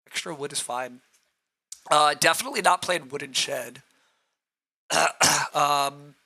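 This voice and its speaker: Hoarse man thinking aloud over a game. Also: medium pitch, pushed and bright. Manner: thinks aloud, answers chat, frequent throat clearing. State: rough, hoarse voice. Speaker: streamer playing a board game. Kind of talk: live-streamed game commentary, thinking aloud.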